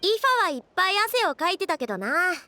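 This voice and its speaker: Anime game athletics coach: confident, brisk, fast-talking, with animated high delivery. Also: female voice, heavily acted. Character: brisk, confident, energetic female athletic coach, tough and proud. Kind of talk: Japanese anime-style game voice acting.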